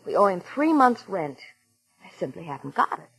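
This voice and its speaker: An actress playing an anxious, long-suffering American wife, earnest and pleading in vintage radio drama style. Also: female voice, lowish voice, very animated, normal speed, fairly bright tone, husky, heavily acted, low-quality recording. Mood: worried. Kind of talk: 1950s radio drama acting.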